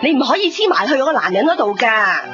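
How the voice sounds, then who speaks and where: A middle-aged Hong Kong Cantonese mother, scolding and reproachful. Her fairly high voice swings widely in pitch. The radio drama recording is slightly dull, with music behind her. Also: big pitch swings, fast talker. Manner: reproachful, firing rhetorical questions.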